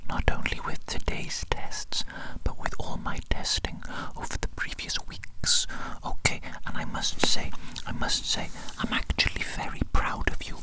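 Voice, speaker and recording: An ASMR performer voicing a sinister, doting evil doctor in a breathy whisper, repeating phrases deliberately in a medical roleplay, close to the microphone. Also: natural voice, poor audio. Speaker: ASMR performer playing an eye examiner.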